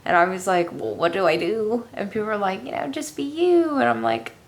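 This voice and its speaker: A young woman with a raspy voice, answering viewer questions casually in her room, tired and hesitant with a self-deprecating manner. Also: medium pitch, expressive, brisk. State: tired with a headache. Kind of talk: casual Q&A chat.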